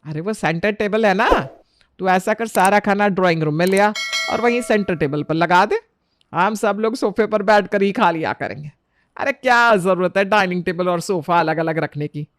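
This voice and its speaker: A woman's voice: medium pitch, moderate pace, no room sound, close to an everyday voice. A woman voicing a fussy, worried middle-aged Indian mother-in-law in a story, with emphatic, chiding delivery. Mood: bossy reassurance.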